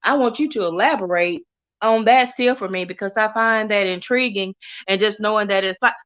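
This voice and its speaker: Middle-aged woman, Texan Southern accent, bright forceful voice, chatty livestream host, dull lo-fi sound. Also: medium pitch, fairly quick. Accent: Southern US, Texas. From Texas. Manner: animated, laughs often, chatty and warm toward viewers. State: coughing from allergies. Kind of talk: casual livestream chat reading comments.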